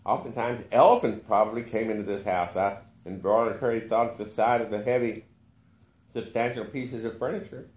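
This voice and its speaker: A man reading a literary short story aloud with expressive narration. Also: fairly low pitch, a little fast, echoey room. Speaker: story reader.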